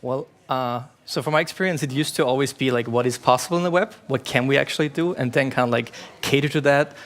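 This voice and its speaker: A man's voice: mid-range voice, fast, a bit bright. A man from Austria speaking as a panelist, casual and enthusiastic, with a lot of "like" in his answers. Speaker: panelist, agency co-founder.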